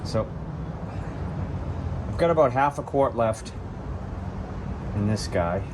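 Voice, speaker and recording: A man's voice: medium pitch, lively intonation, takes it slowly. A man with a stuffy, sniffly voice from a cold, casually talking through a hands-on car repair tutorial in plain words, step by step. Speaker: DIY car repair host. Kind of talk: hands-on tutorial, casual talk while working. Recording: clear background noise, vehicle work ambience.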